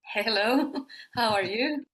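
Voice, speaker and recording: A woman from Finland, likely in her thirties, a yoga teacher and sound healer answering interview questions casually, laughing often and pausing with fillers. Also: fairly high pitch, fairly bright tone, low-quality recording.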